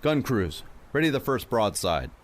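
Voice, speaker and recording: A man's voice: mid-range voice, sing-song, moderate pace, noisy background, pitched above the performer's own voice, natural voice. A man voicing a commanding privateer captain giving dramatic orders in an audio story. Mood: commanding, urgent.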